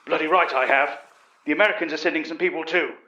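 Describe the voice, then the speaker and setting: A man's voice: on the high side, steady tone, fast, sharp tone. Man voicing a blunt British police inspector, roomy. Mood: eager. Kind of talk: storytelling character voice. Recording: dull, roomy recording.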